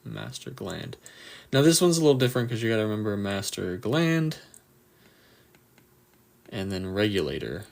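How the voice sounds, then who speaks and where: A man with a croaky, just-woken-up voice runs a casual live quiz for anatomy students, reading answer options and cheering on viewers' replies. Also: fairly low pitch, big pitch swings, moderate pace, long pauses, darkish tone. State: just woke up, a bit tired. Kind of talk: casual livestream quiz review.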